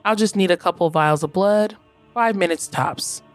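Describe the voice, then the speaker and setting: An actress playing a professional female doctor, calm and matter-of-fact. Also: fairly low pitch, normal speed, soft background music, natural voice. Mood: clinical. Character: brisk, professional female doctor taking blood tests.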